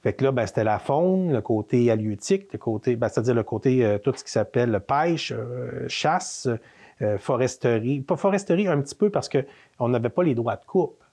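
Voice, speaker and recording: A man in his fifties speaks with a Quebec French accent, at a mid pitch. He rambles through casual stories, circling back with fillers and restarts. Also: animated, normal speed, mellow. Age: 50s. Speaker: interview guest, manor co-owner. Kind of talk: casual storytelling interview.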